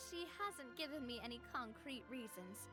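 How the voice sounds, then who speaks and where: An actress playing a confident, warm-hearted young gang boss with an upbeat, assured manner. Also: female voice, sits high.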